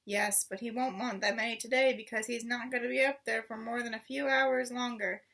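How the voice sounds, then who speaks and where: A woman's voice: on the high side, fairly even intonation, fairly quick, creaky, fairly bright tone, distant mic. A woman voicing a huge, blunt servant woman in a storybook, who grumbles and calls out. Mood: calling out.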